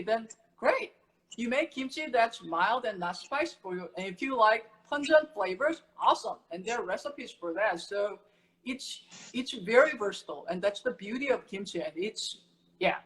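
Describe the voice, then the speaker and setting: A middle-aged woman from Korea who teaches kimchi making, explaining in a casual, animated way with everyday comparisons. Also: medium pitch, moderate pace, poor audio. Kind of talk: casual cooking chat.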